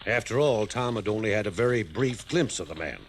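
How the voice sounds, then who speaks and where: Actor doing a worried american guy in an old radio mystery, insistent, pitch swinging around a lot. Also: animated, a little fast, a little hiss or hum, lightly acted. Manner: insistent, emphatic storytelling. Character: earnest, worried man briefing an investigator. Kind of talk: 1950s American radio drama acting.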